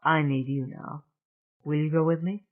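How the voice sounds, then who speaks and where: A narrator voicing a composed, decisive woman doctor with a small, hoarse voice, in an audiobook with phone-like sound. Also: medium pitch, fairly even intonation, normal speed, natural voice. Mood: quietly firm.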